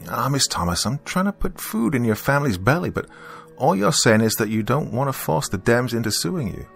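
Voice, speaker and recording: A man's voice: medium pitch, big pitch swings, fairly quick, creaky, soft background music, voice pushed up. A man voicing a pushy, condescending male debt collector in an audiobook, stern and badgering in a falsely helpful way. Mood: smug, badgering. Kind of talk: audiobook character dialogue.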